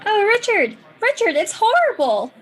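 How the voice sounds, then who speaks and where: A distraught townswoman, acted by a woman in a radio play. Her voice is high and her manner melodramatic. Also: wildly swooping pitch, moderate pace, a bit bright, full character voice. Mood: horrified, distraught. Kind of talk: amateur radio play acting.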